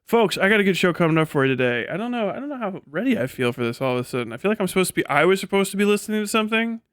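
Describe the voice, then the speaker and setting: A man's voice: sits high, big pitch swings, very fast, a bit bright, smooth, dry recording, studio quality. A man in his late thirties hosting a casual livestream, rambling and swearing freely with a self-deprecating manner. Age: late 30s. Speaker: livestream host.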